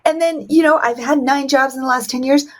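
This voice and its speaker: A woman's voice: sits high, steady tone, fairly quick. A woman in her thirties, raised in China with Mandarin as her first language, telling her life story as a podcast guest, acting out quotes with big jumps in her voice.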